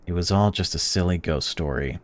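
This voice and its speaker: A man hosting a gaming channel, reading a visual novel's text aloud while playing and breaking off into excited or indignant reactions. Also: low-pitched, fairly quick. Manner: reads game text flatly, then blurts excited or indignant reactions.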